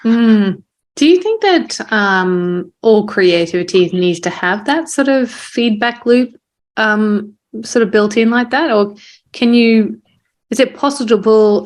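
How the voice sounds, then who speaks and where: Woman, mid-range pitch, podcast interviewer, conversational, thoughtful, agreeable, exploratory phrasing. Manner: thoughtful, agreeing, feels out her questions.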